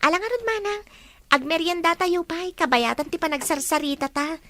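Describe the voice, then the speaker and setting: A woman with a Filipino accent acting a caring teenage high school girl in a radio drama. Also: moderate pace, fairly bright tone, no room sound. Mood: cheerful.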